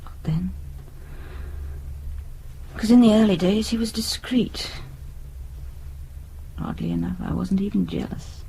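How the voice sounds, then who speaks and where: A woman's voice: mid-range voice, slow pace, gravelly, long pauses, mellow, slight background noise, poor audio. An actress playing a resigned, anxious middle-aged British wife and mother in a naturalistic radio drama, hesitant and wistful. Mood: reflective.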